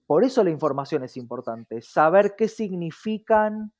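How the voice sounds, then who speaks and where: A man's voice: sits high, lively intonation, moderate pace, a little mellow. An Argentine man speaking earnestly and reflectively as an interview guest, often checking in with short tag questions. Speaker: interview guest, diversity activist.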